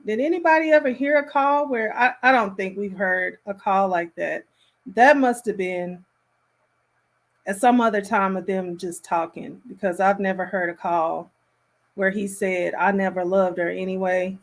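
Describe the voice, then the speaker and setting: A woman hosting a casual reaction commentary, speaking in an appalled, emphatic and halting way. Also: mid-range voice.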